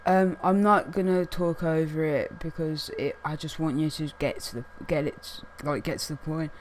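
A young man with a croaky, hoarse voice, chatting casually to camera as a gaming video host and rambling with lots of fillers. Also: on the high side, fairly even intonation, moderate pace, slight background noise. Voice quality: croaky, losing his voice. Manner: rambling, many fillers like "what's it called". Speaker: gaming YouTuber.

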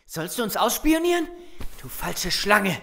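An actor playing a sarcastic, confrontational, distrustful survivor in a German zombie audio drama. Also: female voice, medium pitch, very animated, a little slow, creaky, background noise. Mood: scornful, angry. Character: Simon, distrustful hot-headed survivor of a zombie apocalypse.

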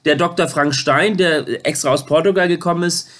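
A man in his thirties rambling through an informal phone interview, halting and repeating his words, recorded indoors at home. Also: a little high, a bit bright. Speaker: YouTuber making a phone call on camera.

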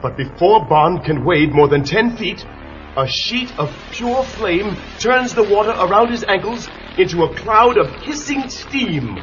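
A man's voice: sits high, animated, a little slow, fairly bright tone. A man narrating a children's storybook adventure in a dramatic, urgent way. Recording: dull lo-fi tape with music.

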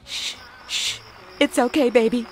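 Cartoon mommy, high and cooing, shushing softly, faint music. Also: female voice, fairly even intonation, unhurried. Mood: soothing. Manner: hushing, cooing. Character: soothing cartoon mother. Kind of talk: cartoon voice acting.

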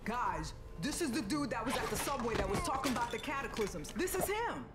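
A woman's voice: on the high side, very animated, a little fast. A woman playing an outspoken, streetwise ghost hunter in a game cutscene, blunt and emphatic. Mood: urgent. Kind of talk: scripted game cutscene acting. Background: loud music.

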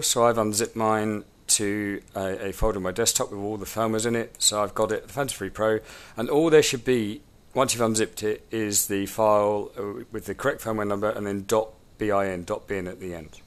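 Snuffly man giving a hands-on tutorial. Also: lowish voice. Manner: informal, rambling, many ums. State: snuffly with a cold. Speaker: drone instructor. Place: home room, at a table.

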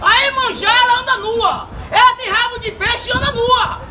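A middle-aged man with a Bahian Brazilian accent, preaching fervently in the street and on a bus, breaking into shouts and repeating words. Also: very high-pitched, fairly even intonation, normal speed. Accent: Bahian Brazilian Portuguese. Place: city street and inside a bus. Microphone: handheld phone camera. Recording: phone recording with loud crowd and traffic.

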